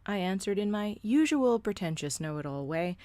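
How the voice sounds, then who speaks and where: A woman's voice: mid-range voice, lively intonation, moderate pace, dark tone, no echo. A woman in her thirties narrating a first-person story with a blunt, sardonic edge.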